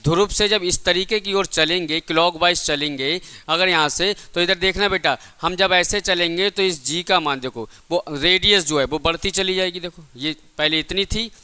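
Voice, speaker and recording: A man's voice: high voice, moderate pace, hardly any pauses, sharp tone, clean tone. A man teaching a lecture in a didactic way, repeating points and asking rhetorical questions. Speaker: physics teacher for exam coaching. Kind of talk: classroom lecture.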